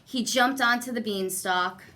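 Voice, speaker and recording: A woman narrates a children's storybook in an expressive style. Her voice is bright and slightly high, and she takes her time, placing deliberate emphasis on phrases for young listeners. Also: slight background noise. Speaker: guest story reader.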